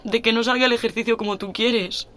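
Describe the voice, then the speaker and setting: A woman's voice: on the high side, steady tone, a little fast, fairly bright tone, close to an everyday voice. A woman playing an anxious young female athlete, breathless and near tears, afraid of failing, in an audio drama. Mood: fearful, confessing. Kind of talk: acted audio drama dialogue.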